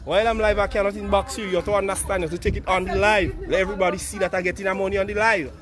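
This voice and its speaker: A high-voiced Grenadian man, hoarse from a cold, chats on a phone live stream. He jokes, teases his viewers and slips into sung lines, with faint music in the background. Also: fairly even intonation, rapid-fire. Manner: joking, teasing viewers for money, bursts into sung lines. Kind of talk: casual live-stream chat.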